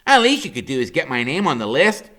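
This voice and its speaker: A man's voice: a little high, sing-song, quick pace, sharp tone, pitched above the performer's own voice. A man voicing a brainy, smart-mouthed thirteen-year-old boy with a cocky, wisecracking manner. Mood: whiny demanding.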